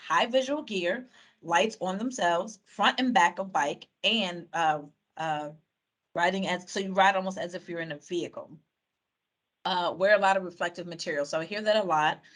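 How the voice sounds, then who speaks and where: A woman with a lowish voice runs a community meeting over a video call. She reads out and sums up typed answers in a loose, chatty way, full of fillers and restarts. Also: moderate pace, a bit bright. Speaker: meeting facilitator. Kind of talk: reading out and summing up audience answers on a video call.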